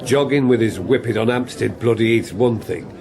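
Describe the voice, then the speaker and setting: An actor playing a grumbling, sceptical political colleague, blunt and sarcastic in manner. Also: male voice, medium pitch, normal speed, lightly acted. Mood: scornful. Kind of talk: British political drama acting. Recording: crowd chatter behind.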